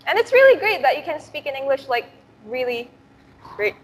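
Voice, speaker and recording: A young woman whose first language is Indonesian, speaking English as a guest alumna on a video call, relaxed with fillers like "like" and "um". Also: high-pitched, fairly quick. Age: young adult. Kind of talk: casual advice talk. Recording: echoey room with faint background noise.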